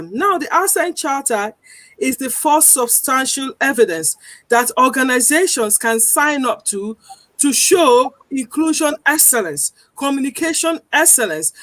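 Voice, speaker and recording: A woman with a Nigerian accent speaks earnestly and deliberately, her voice pitched a little high. She pauses often to gather her words, and the recording is dry and close. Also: a bit bright, dry recording. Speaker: guest. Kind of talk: panel discussion.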